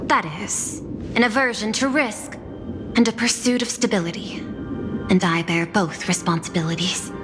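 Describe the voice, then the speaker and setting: An actress playing a composed, dutiful corporate executive in a video game, speaking formally and with measured care. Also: female voice, mid-range voice, fairly even intonation, close to an everyday voice. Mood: grave, formal. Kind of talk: scripted game voice acting. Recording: long reverb, loud music behind.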